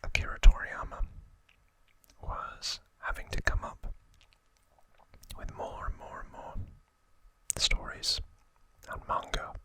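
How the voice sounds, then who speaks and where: A man in his thirties whispering in a breathy voice, telling a story as an ASMR host in short phrases with pauses. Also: bright, forceful tone. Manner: slow, deliberate, short phrases with long pauses.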